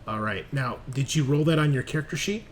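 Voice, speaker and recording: A man's voice: mid-range voice, fairly quick, slight background noise. Man game master narrating a tabletop game. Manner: dramatic in narration, casual swearing. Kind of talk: running a tabletop roleplaying game, casual narration.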